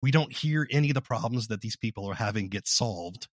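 A man's voice: lowish voice, expressive, a little fast, no echo, clean studio sound. A man speaking as an interviewer in a conversational podcast, explaining ideas as he goes.

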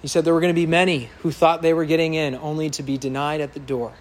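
A pastor preaching an earnest, emphatic sermon to his congregation in a church sanctuary, with a loud voice that builds to impassioned peaks. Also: fairly high pitch, level tone, fast talker.

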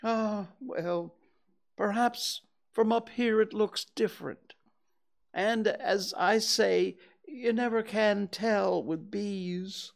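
A storyteller voicing a gentle, slow-witted male toy bear who talks slowly and stammers. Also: mid-range voice, vocal fry, voice pushed up. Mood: anxious. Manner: slow, ponderous, hesitant with stammers. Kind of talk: storybook reading.

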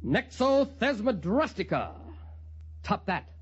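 A man's voice: high-pitched, very animated, takes it slowly, husky, exaggerated voice. A man playing a sardonic, unhinged would-be killer who swings between muttering and outbursts in a 1950s radio drama. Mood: flippant, playful. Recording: dull, lo-fi 1950s radio recording.

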